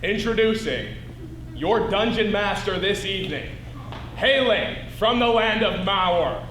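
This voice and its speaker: A man's voice: high-pitched, lively intonation, a little slow, fairly bright tone. A man doing a ring-announcer style introduction, dramatic and drawn out, projecting to the room. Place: school auditorium. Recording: echoey hall, some background noise.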